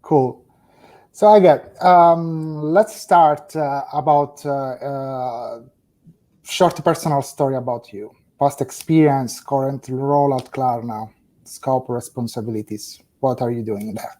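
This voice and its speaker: A man's voice: mid-range voice, slow pace, mellow. A man hosting an interview podcast over a video call, asking short questions with some fillers. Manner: friendly, brief prompts with fillers. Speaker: podcast host.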